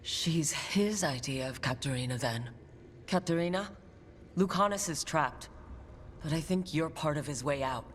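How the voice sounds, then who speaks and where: An actress playing a steady, inquisitive adventurer leader in a video game, calm and questioning. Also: female voice, deep voice, measured pace, slight background noise. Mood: determined.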